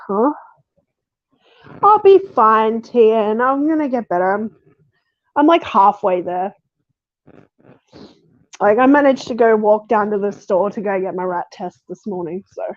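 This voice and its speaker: A woman streamer with a croaky, sniffly voice from being sick, chatting casually and laughing often. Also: mid-range voice, expressive, measured pace, pauses often, dry recording. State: croaky voice from a cold. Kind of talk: casual live stream chat. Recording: dull lo-fi sound.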